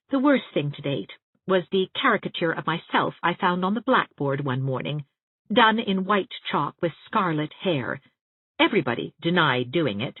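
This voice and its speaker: A woman voicing a spirited, affectionate young schoolteacher in an audiobook of her letters, with an expressive, whimsical delivery. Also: sits low, breathy edge. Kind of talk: audiobook reading of first-person letters. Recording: boxy room echo, muffled narrow-band sound.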